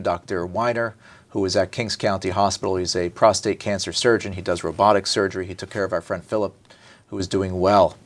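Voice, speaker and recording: A man from New Jersey hosting a TV health interview as a doctor, talking in a chatty, friendly way with frequent restarts. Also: fairly low pitch. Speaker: doctor and talk show host.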